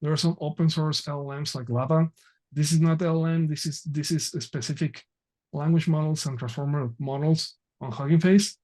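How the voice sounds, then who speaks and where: A man presenting an online technical workshop over a video call, talking haltingly with many fillers and restarts. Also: fairly high pitch, steady tone, a little mellow.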